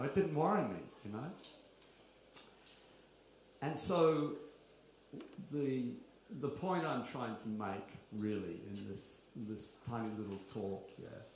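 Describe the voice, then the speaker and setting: A middle-aged man gives an informal, confessional spiritual talk at a kirtan gathering, full of rhetorical questions and wry asides. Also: medium pitch. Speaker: kirtan speaker. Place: large echoey room at a kirtan gathering. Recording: very roomy, faint background noise.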